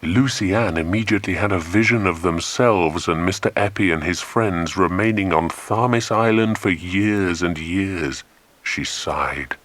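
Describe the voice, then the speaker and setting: A man narrating a scripted children's adventure story in an expressive, dramatic way. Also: low-pitched, unhurried, no echo.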